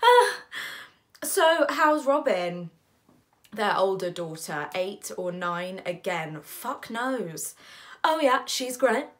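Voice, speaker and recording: A woman's voice: on the low side, slow pace, a bit bright. A woman acting a theatrical monologue as a weary, sardonic, foul-mouthed character, telling her story in a wry, dramatic way with exaggerated quoted voices. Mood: dry, exasperated.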